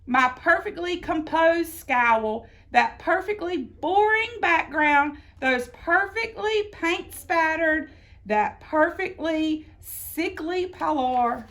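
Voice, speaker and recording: Woman, high voice, very lively intonation, children's storybook reading, theatrical emphasis, deliberate phrasing. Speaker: storybook reader. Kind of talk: expressive read-aloud of a children's picture book.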